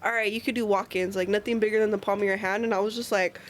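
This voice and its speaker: A woman tattoo artist, a podcast guest, telling stories in a fast, profane, casual way. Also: mid-range voice, fairly even intonation. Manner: fast, profane storytelling with lots of "like".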